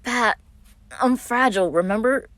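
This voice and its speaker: A woman's voice: on the high side, normal speed, fairly bright tone, dry recording, pitched above the performer's own voice, close to an everyday voice. Sardonic, gothic tall brother for a fiction podcast, played by a female reader: wry, exasperated, dry close sound. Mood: strained, protesting. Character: tall, spooky, sardonic younger man, easily flustered brother.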